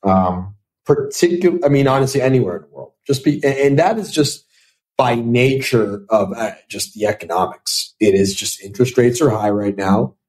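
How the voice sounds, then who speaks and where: A man's voice: mid-range voice, animated, moderate pace, a little mellow. A man in his thirties, an investor answering podcast interview questions, thoughtful and talking with many fillers and restarts. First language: Italian. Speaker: venture capital investor.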